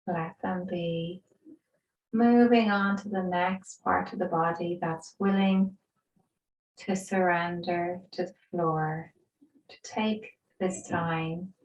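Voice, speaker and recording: A woman yoga instructor leading a guided relaxation in a soothing, gentle, unhurried way with pauses. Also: on the low side, highs cut off. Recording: roomy echo, distant microphone.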